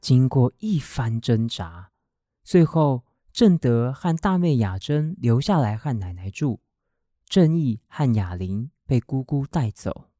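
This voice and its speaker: A man's voice: medium pitch, big pitch swings, slow, warm tone, no room sound. A man with a Taiwanese Mandarin accent reading scripted story narration for a radio drama.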